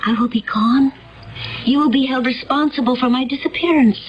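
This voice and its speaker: An actress playing a serene, solemn woman from another world in a radio drama, speaking in a measured, earnest and foreboding way. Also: sits high, close to an everyday voice. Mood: solemn warning. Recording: dull, lo-fi radio recording with faint noise.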